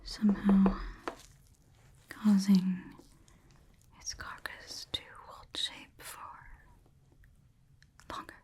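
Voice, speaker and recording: Female ASMR voice, hushed whisper, unhurried and clinical, playing a researcher logging observations. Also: mid-range voice, little pitch movement. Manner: clinical, methodical, pausing and murmuring to herself. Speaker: ASMR performer. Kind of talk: soft-spoken whispered ASMR roleplay, scientist dictating examination notes.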